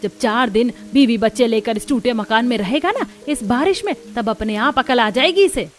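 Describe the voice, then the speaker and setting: A woman's voice: on the high side, normal speed, close to an everyday voice. A woman voicing a spiteful, scolding older mother-in-law in a cartoon family drama. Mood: bitter.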